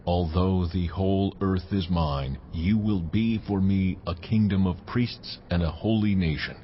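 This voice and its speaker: A deep divine male voice, proclaims slowly with solemn, weighty authority, as in an audio drama. The sound is dull, with clear noise and ambience around it. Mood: solemn, majestic.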